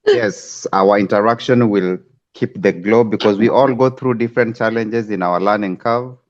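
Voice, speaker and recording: A man's voice: on the low side, expressive, normal speed, muffled recording. A man from Kenya, a trading coach, steadily explaining charts in a screen-share tutorial over a video call.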